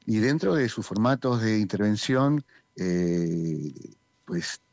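A man speaks with a Peruvian accent, his voice low and mellow. He answers in long, halting stretches broken by hesitations. The sound is dull and dry, like a close studio interview. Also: lively intonation, no room sound. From Peru. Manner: rambling, many hesitations. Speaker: community theatre director.

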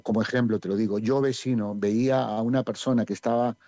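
Peruvian man, low dull voice. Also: steady tone, quick pace, mellow, no echo. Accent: Peruvian Spanish. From Peru. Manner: hesitant, many fillers and restarts. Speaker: community theatre director. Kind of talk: radio interview.

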